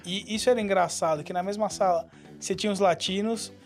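A man from Brazil co-hosting a casual podcast, talking in a relaxed, agreeable way. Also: high-pitched, quick pace. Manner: relaxed, agreeable, leans on "cara" and "né". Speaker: podcast co-host. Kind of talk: casual chat.

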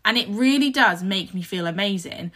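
Croaky-voiced woman hosting a mindset podcast. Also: medium pitch, lively intonation, moderate pace, a bit bright. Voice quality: husky. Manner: earnest, reflective, motivational. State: croaky sore throat. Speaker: podcast host. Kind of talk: casual solo podcast talk.

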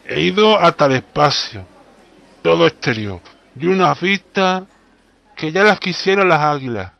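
A boastful, absent-minded travelling salesman in a comic radio play, played by a man. His voice sits a little high, with an accent from Spain. He holds forth in an exclamatory, know-it-all way. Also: lively intonation, measured pace, creaky, lo-fi sound. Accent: Spanish (Spain).

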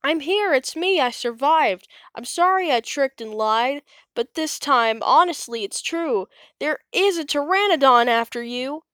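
A cocky little dinosaur boy with a high, excited, shrill voice that cries out loudly, as a woman reads him aloud. He sounds smooth and clear in a dry room. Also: unhurried, dry recording. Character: boastful little male dinosaur prankster.

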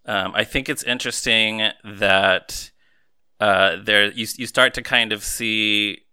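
A man's voice: on the low side, fairly even intonation, slow pace, bright, forceful tone, smooth. A man in his mid-thirties hosting a casual movie podcast. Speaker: podcast host.

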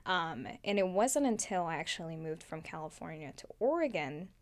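A woman's voice: sits low, expressive, moderate pace. A woman in her twenties from the Philippines with an American accent, chatting as an interview guest with many fillers and frequent laughs. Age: 20s. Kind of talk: casual conversational interview.

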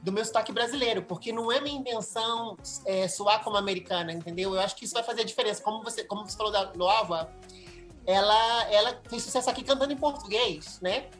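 A woman's voice: medium pitch, fast, fairly bright tone, soft background music. A Brazilian woman in her thirties, a singer-songwriter, talking expressively in a casual interview. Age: 30s.

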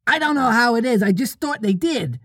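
A storybook reader voicing excitable little boys who interrupt eagerly with childlike exclamations and questions. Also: mid-range voice, unhurried, dry recording, studio quality, voice pushed up. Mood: excited.

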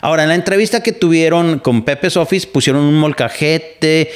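A Mexican man with a voice pitched a little above the usual for men hosts a podcast. He talks in a loose, rambling monologue, full of fillers and self-corrections, with the relaxed ease of someone long used to talking on air. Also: a little fast. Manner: rambling, frequent fillers and restarts. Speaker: podcast host. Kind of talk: casual opinion monologue. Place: living in the United States.

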